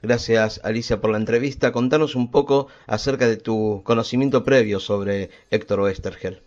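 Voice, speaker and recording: Slightly deep Argentine male interviewer. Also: steady tone, normal speed, a little mellow, lo-fi sound. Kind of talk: prepared radio interview questions.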